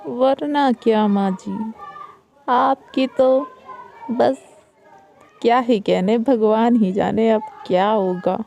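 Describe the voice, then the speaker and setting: A woman's voice: fairly high pitch, slow, creaky, pauses often, mellow, slight background noise. A woman voicing a sly elder daughter-in-law who taunts and gossips, in a raised put-on voice. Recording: dull lo-fi recording.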